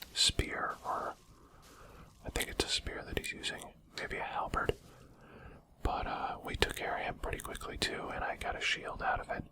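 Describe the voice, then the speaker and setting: A man speaking in a breathy whisper, giving quiet, explanatory commentary on a video game as a channel host. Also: extremely high pitch, rough recording.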